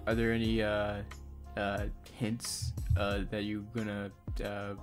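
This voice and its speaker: A man's voice: lowish voice, completely flat delivery, slow. A young man speaking as a podcast co-host in a casual group chat. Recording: music behind the voice. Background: background music.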